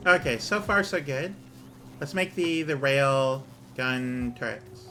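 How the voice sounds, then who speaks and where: A man streaming a game, talking casually through his numbers as he plays, with his voice going hoarse. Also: medium pitch, takes it slowly, sharp tone, soft background music. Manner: muttering numbers while he plays, thinking aloud. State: losing his voice. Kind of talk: casual gameplay commentary.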